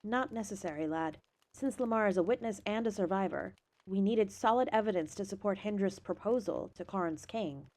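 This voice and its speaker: An actress playing a composed noblewoman who explains things in a measured, matter-of-fact way. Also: medium pitch, darkish tone, lightly acted.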